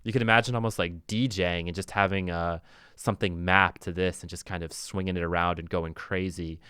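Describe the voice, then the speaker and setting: A man's voice: deep voice, steady tone, brisk, background noise. A man giving a casual, informal music production tutorial, thinking aloud as he demonstrates on a close mic. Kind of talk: casual software tutorial talk.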